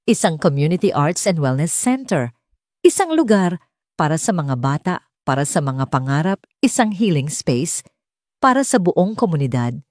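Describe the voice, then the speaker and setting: A woman's voice: sits low, very animated, moderate pace, darkish tone, dry recording, studio quality. A woman with a Filipino accent reading a scripted romance story as an audiobook narrator.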